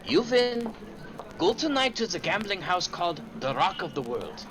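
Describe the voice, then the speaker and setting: Sly, genial male fixer played by an actor, higher-pitched with lively swoops, old-time radio mystery style. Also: normal speed, noisy background. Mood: sly, bargaining. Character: sly, mercenary male Lisbon informant, genial and cagey.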